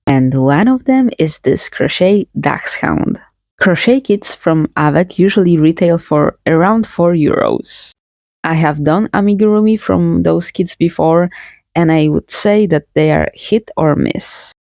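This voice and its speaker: A woman's voice: fairly low pitch, expressive, unhurried, gravelly, a little mellow, no room sound, clean studio sound. Woman reviewing crochet kits. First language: Polish. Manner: thoughtful, hedging, plain-spoken. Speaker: crochet channel host. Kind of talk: product review vlog.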